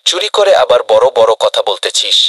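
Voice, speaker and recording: A man's voice: medium pitch, big pitch swings, a little fast, fairly bright tone, slightly rough, no room sound. A man playing a commanding, indignant villager in a comedy cartoon, rallying the others. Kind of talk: cartoon voice acting.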